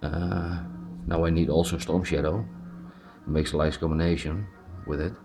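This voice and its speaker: A man's voice: a bass voice, steady tone, normal speed, music in the background. A man from the Netherlands with a Dutch accent, speaking English as a second language in a hesitant, informal, unscripted way as he reviews collectibles. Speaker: amateur collectible toy reviewer. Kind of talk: casual unscripted hands-on review.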